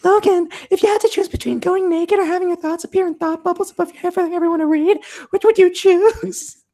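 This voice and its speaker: A man voicing an excitable, gossipy teenage girl in an amateur script read-through, gushing in teen slang. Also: very high voice, a little fast, pitched above the performer's own voice. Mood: teasing.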